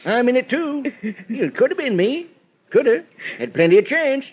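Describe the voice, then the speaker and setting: Sly old hick lawman, high swooping voice, rural American drawl, folksy, plays dumb, vintage radio drama. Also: big pitch swings, normal speed, breathy edge, heavily acted. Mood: teasing, feisty. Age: older. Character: crafty old hick country constable, folksy, teasing. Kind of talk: 1940s radio mystery acting. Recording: dull vintage radio recording.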